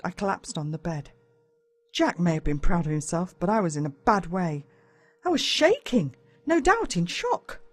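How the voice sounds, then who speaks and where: A woman with an Australian accent narrates a children's story in the first person, in a dramatic, expressive way. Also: a little high, no echo. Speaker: audiobook narrator.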